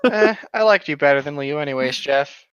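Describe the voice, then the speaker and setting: A man's voice: fairly high pitch, lively intonation, brisk, a bit bright, smooth, no room sound. A man co-hosting a mock story reading, tossing in casual jokes with an incredulous, profane manner. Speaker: co-host. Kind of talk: casual joking commentary. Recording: slightly dull, lo-fi microphone.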